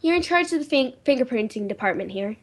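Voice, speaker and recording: A woman's voice: sits high, expressive, fast. A woman playing an anxious, insistent well-to-do lady in old time radio drama style, urgent and faltering in manner. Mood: anxious, disbelieving.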